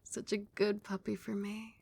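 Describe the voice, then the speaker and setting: ASMR casting: a young woman as a tender, possessive vampire queen, soft soothing whisper, close mic. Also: medium pitch, completely flat delivery, a little slow, gravelly, slightly rough. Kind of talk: ASMR roleplay.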